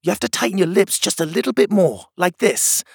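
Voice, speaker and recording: A man's voice: sits high, sing-song, brisk, vocal fry, a little mellow, slight background noise, voice pushed up, exaggerated voice. A man voicing a wise, earnest turtle hero who gives advice in a children's storybook. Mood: encouraging.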